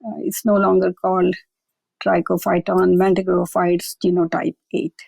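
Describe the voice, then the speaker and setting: A woman's voice: on the low side, little pitch movement, normal speed, vocal fry, darkish tone, dry recording. A woman researcher being interviewed, explaining carefully and often adding "I will say". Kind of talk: conversational expert interview.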